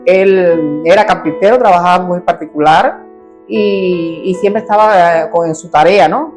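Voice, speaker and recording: A woman's voice: lowish voice, measured pace. Cuban woman telling her story, faint guitar. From Cuba. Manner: animated storyteller who acts out voices. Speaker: guest.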